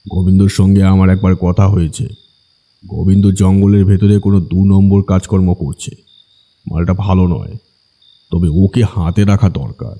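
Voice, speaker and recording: A man's voice: low-pitched, dark tone, husky, heavily acted. A man voicing a commanding, scornful village strongman who gives orders to his underling in an audio story. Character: Basanta, bossy, scheming village strongman giving orders.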